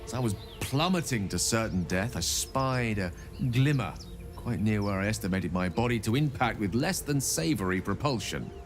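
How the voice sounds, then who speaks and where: A man acts out a genial male wizard from a fantasy video game. His phrasing is ornate and courteous with a wry edge, and his pitch swings expressively. Music plays behind him. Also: expressive, moderate pace, lightly acted. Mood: wry storytelling. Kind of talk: video game dialogue acting.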